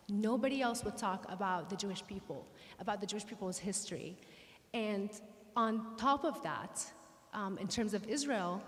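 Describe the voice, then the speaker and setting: Syrian-born woman telling her story to a crowd, earnest and measured, long echo of a big hall. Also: medium pitch. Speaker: guest speaker. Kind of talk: personal talk to an audience. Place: synagogue hall. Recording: reverberant hall.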